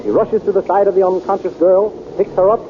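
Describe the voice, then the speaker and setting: A man's voice: sits high, fairly even intonation, fast, dull audio. A man narrating a 1930s radio serial in a dramatic, declamatory style, on a crackly old recording.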